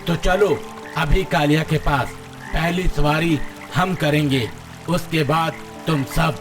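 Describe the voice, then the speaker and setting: A man's voice: a little high, steady tone, slow. An actor voicing a gullible, self-important frog king in a cartoon moral story, with a regal, curious manner. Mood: commanding. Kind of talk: animated Hindi moral story dialogue. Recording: music behind the voice.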